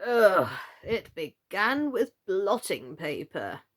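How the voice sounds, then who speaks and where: A woman voicing a gentle, foolish, doleful old knight in a children's storybook, with a slow, fussy, theatrical delivery. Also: mid-range voice, very animated. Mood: doleful, thoughtful.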